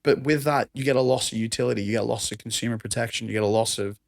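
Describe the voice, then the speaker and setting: A man with an Australian accent and a raspy voice, talking enthusiastically as a podcast guest. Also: mid-range voice, racing, no echo, studio quality. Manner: enthusiastic, conversational, laughs often. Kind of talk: casual chat.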